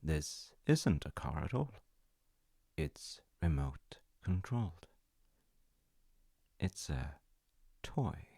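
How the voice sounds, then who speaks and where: A man plays a calm, enigmatic angel from a story, speaking in a very low, gravelly voice. He is formally polite and cryptic, taking his time over every phrase. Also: drawn-out words, long pauses, no echo, clean studio sound, full character voice.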